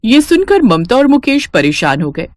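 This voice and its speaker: Expressive woman story narrator. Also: a little high, moderate pace, dry recording, studio quality. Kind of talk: scripted children's story narration.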